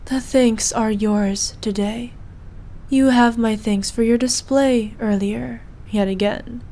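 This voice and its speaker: A woman's voice: fairly high pitch, fairly even intonation, slow, mellow. A woman playing an evil, imperious queen in a scripted roleplay, speaking in a haughty, formal, commanding manner. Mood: tender, gracious. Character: evil imperious queen, cruel to subjects, doting on her knight. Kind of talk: scripted ASMR roleplay acting.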